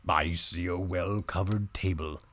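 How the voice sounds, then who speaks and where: A man doing a slow, deep storybook donkey. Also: steady tone, a bit bright, slight background noise. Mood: deliberate. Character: big slow male donkey, practical and friendly. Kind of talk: storybook character voice.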